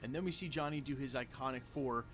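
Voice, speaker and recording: Polished man at mid pitch, scripted breakdown read, brisk and informative, faint noise behind. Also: a bit bright. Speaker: YouTube breakdown channel host. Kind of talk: scripted trailer breakdown narration.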